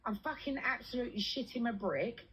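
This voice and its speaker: A woman's voice: fairly high pitch, expressive, fairly quick, fairly bright tone, a little hiss or hum. A British woman chatting casually and venting in a blunt, sweary, colloquial way. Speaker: livestream host.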